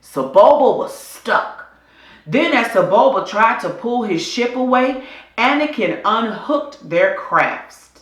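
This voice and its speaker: A woman reads an adventure story for children in an echoey room. Her voice is bright and clear-cut in its storytelling, with lively swings in pitch. She takes her time, delivering the scripted narration with deliberate drama. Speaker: storybook reader.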